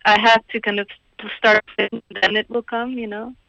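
A woman's voice: a little high, flat delivery, moderate pace, vocal fry, sharp tone, dry recording, highs cut off. A woman from Croatia, a singer-songwriter answering interview questions in a hesitant, thoughtful way with small laughs. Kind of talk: radio interview guest.

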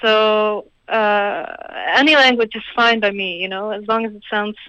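A woman's voice: mid-range voice, steady tone, moderate pace, bright, forceful tone, smooth, no echo, lo-fi sound. A woman from Croatia, a singer-songwriter answering interview questions in a hesitant, thoughtful way with small laughs. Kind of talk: radio interview guest.

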